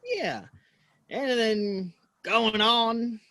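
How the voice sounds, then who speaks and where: A man's voice: very high voice, very slow, vocal fry, pushed and bright, no echo, full character voice. A man acting an earnest, plain-spoken American cowboy in a Western audio play, shy but willing to stand up for himself, with folksy, hesitant talk. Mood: probing.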